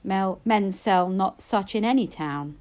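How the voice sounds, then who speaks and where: A woman reading narrative poetry expressively, in a measured storytelling manner. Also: mid-range voice, darkish tone. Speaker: poetry reader.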